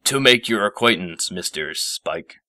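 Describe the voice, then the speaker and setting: A man's voice: on the low side, lively intonation, unhurried, pushed and bright. A man voicing a pompous, gloomy old male unicorn professor, curt and formal, in a storybook reading. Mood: gloomy formality. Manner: haughty, imperious, formal. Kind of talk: storybook character voice.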